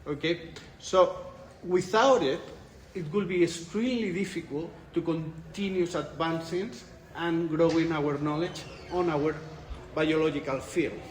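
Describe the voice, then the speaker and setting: Spanish-accented male researcher in his 40s, slightly raised pitch, echoey room. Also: measured pace, few pauses, a little hiss or hum. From Spain. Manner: thoughtful, restarts phrases, laughs lightly. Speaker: interviewed researcher. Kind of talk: conference interview. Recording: echoey room with light ambience.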